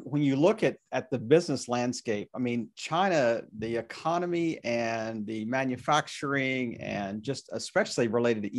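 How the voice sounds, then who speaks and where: A man hosting a podcast interview, rambling with repeated words and restarts. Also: mid-range voice. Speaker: podcast host.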